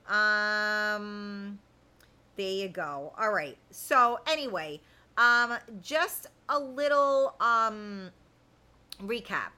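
A woman's voice: medium pitch, pushed and bright. A woman in her fifties hosting a casual live chat, talking to viewers in a candid, blunt, colloquial way. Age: 50s. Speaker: host of a live chat show.

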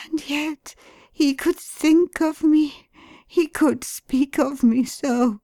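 A woman voicing a distraught young wife, pleading in a strained, high voice. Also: warm tone, no room sound, studio quality. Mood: anguished. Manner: intense, emphatic, slow.

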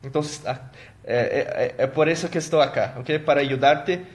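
A man whose first language is Portuguese, hosting a live stream as a motivational coach. He talks in a halting way, with many fillers and restarts, and thanks viewers by name. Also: fairly high pitch.

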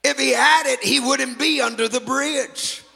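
A male pastor whose first language is Spanish, preaching with shouting, sing-song phrases and calls to the congregation. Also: very high voice, a little slow, vocal fry, in a large hall. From Latin America. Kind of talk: sermon. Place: church sanctuary.

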